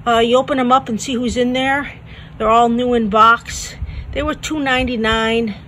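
A woman's voice: fairly high pitch, steady tone, moderate pace, a bit bright. A woman chatting casually about her thrift-store finds while filming on a handheld phone. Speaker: thrift reseller vlogger. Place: thrift stores, partly a car. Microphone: handheld phone camera. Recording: phone audio with clear store background noise.

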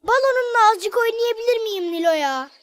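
Cartoon playmate: small boy, very high dry voice, eager, playful, friendly teasing. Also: animated, moderate pace, no echo. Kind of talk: children's cartoon dialogue.

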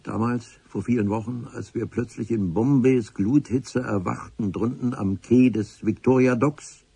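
An actor playing the wry, literate narrator of an adventure radio play, telling it in an ornate, deliberate way. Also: male voice, medium pitch, steady tone, vocal fry, warm tone, husky, dry recording. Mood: musing.

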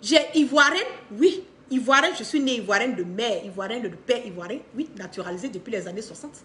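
A woman's voice: on the high side, brisk. A middle-aged woman with an Ivorian accent giving an impassioned, unscripted political monologue to camera, repeating phrases for emphasis. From Ivory Coast. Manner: emphatic, repeats phrases for stress, animated with hand gestures. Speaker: political commentator on a live stream. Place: indoor living room.

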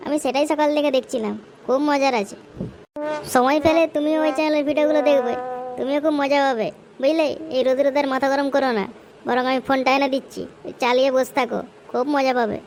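Woman as a high-pitched cheeky Bengali layabout son. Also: steady tone, fast, a little mellow, a little hiss or hum. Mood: cheeky, placating. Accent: West Bengal Bengali. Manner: whiny, cajoling, casually cursing. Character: cheeky, idle, beedi-smoking village son. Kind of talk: amateur comedy cartoon dialogue.

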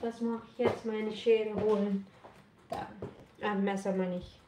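A woman's voice: mid-range voice, level tone, unhurried, warm tone, slight background noise. A woman of thirty-eight, Filipino by birth, chatting casually with livestream viewers in simple German, mixing in some English while she works in her home kitchen. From Philippines. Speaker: vlogger. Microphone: camera on the counter. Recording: echoey kitchen with running water. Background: water and kitchen clatter.

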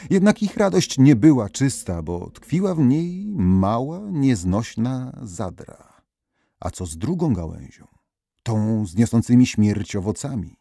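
A man narrating scripted folk tales as a storyteller, expressively and with dramatic pauses. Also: medium pitch, sing-song, vocal fry, mellow, no room sound, clean studio sound.

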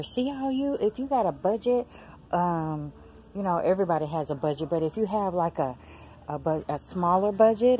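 A low-pitched woman with a drawl chats to her viewers as she walks store aisles with a phone camera. She calls out prices, exclaims over finds and talks to her audience like friends. A faint store hum sits behind her. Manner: chatty, excited exclamations, addresses viewers as y'all and girl. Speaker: vlogger. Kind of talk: casual shop-with-me vlog. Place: large discount store aisles, indoors. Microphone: handheld phone camera.

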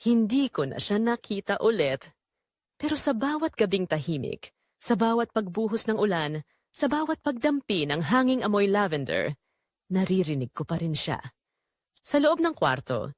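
Audio drama narrator: wistful young man female performer, earnest, dramatic, lively intonation, dry sound. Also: mid-range voice, normal speed, pauses often, no room sound, lightly acted. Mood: wistful, intimate. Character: wistful young man recalling a secret romance. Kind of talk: scripted first-person audio drama narration.

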